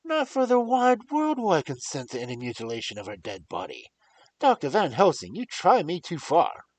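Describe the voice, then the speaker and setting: A reader voicing a passionate young nobleman in an audiobook, loud and outraged. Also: mid-range voice, wildly swooping pitch, normal speed, voice pushed up. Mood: furious. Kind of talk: audiobook character reading.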